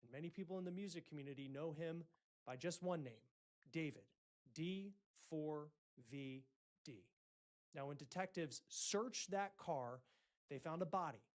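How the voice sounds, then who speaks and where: A man hosting a breaking news report, speaking in deliberate, emphatic phrases with dramatic pauses. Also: on the high side. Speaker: news host.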